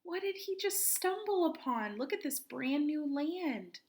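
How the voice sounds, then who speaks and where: A woman reading a children's picture book aloud expressively, with dramatic pauses, chatty asides and questions for her young listeners. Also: high-pitched, big pitch swings, a little mellow. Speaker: children's read-aloud host.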